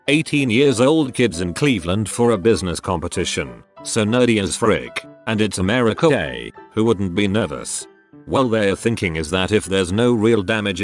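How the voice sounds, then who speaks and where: A man narrating a compilation of short first-person online stories in a steady reading manner. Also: fairly low pitch. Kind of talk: scripted narration. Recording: faint music bed behind voice.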